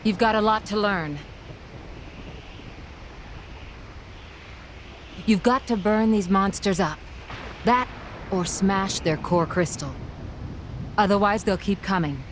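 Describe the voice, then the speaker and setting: A woman's voice: mid-range voice, measured pace, a little hiss or hum, natural voice. An actress playing a confident, sardonic woman warrior in a video game cutscene. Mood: commanding.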